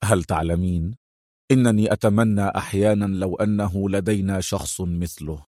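A man's voice: deep voice, moderate pace, mellow, no room sound, clean studio sound, pitched below the performer's own voice, close to an everyday voice. A man voicing a bitter, weary and indignant intelligence chief with a terse manner, in a dramatised audiobook. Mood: grave, firm.

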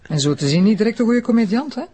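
An actress playing an assertive young prosecutor in a radio drama, sharp-tongued, with a Flemish accent. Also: female voice, on the high side, animated, fast talker, breathy edge. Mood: indignant, suspicious. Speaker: actor.

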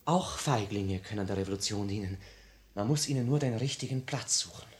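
A man's voice: fairly low pitch, lightly acted. Man acting a despairing young revolutionary in radio drama. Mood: agitated pleading. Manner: halting, intense theatrical delivery. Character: despairing, ashamed young male revolutionary losing his nerve. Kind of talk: 1950 radio play acting in German.

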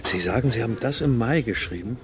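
An actor playing a troubled, weary writer in a radio drama, speaking in a sober, measured, introspective way. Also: male voice, mid-range voice, lively intonation, close to an everyday voice. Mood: puzzled. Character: troubled male writer recounting his daughter's disappearance, brooding, weary. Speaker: narrator and lead character. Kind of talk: radio drama, introspective first-person narration and dialogue.

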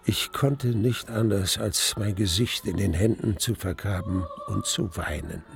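An appalled, emotional witness tells his story in a gravelly voice. He draws words out slowly with theatrical swoops, over prominent music in a radio play. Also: on the low side. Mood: despairing. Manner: deliberate, drawn-out, theatrical emphasis on words. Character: emotional male opera witness recounting horror on stage. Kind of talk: dramatic radio drama narration.

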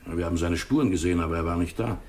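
A dutiful small-town policeman in an old radio play. He talks quickly in a low voice, plainly and eagerly, as if reporting to his superior. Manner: plain-spoken, keen to tell. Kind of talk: 1960s German radio drama acting.

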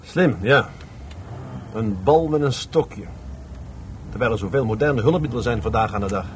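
A man playing a plain-spoken middle-aged police adjutant in a radio drama. His medium-pitched voice is colloquial and stubbornly matter-of-fact, with faint vehicle noise behind it. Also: animated, normal speed, close to an everyday voice. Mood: reasoning aloud. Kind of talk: Dutch radio play acting.

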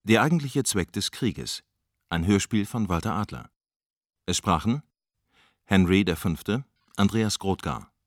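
Deep-voiced man, dry announcement. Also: expressive, measured pace, pauses often, dry recording. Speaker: credits announcer. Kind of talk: formal radio credits reading.

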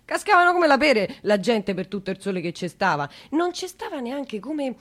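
A woman performing a radio theatre monologue as an anxious working-class Italian woman with a Roman accent, recalling a tragedy in halting, repetitive, emphatic colloquial speech. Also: on the high side, sing-song, a bit bright. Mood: animated, insistent.

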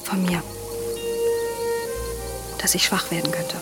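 A young woman of noir radio drama, brave and teasing. She speaks softly and intimately, with prominent music behind her. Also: on the low side, steady tone, takes it slowly. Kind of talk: German radio drama acting.

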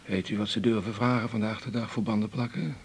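An actor playing an odd, rambling, prickly male patient in a 1980s radio drama, with a creaky voice and colloquial, fidgety delivery. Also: lowish voice, steady tone, fast talker, slight background noise, close to an everyday voice. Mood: chatty, rambling.

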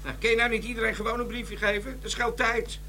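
An actor playing an overweight, touchy police detective who grumbles and answers with sarcasm, in a radio drama. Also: male voice, extremely high pitch, steady tone, fast, pushed and bright, slight background noise. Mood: sarcastic. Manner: sarcastic, complaining. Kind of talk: 1990s radio drama acting.

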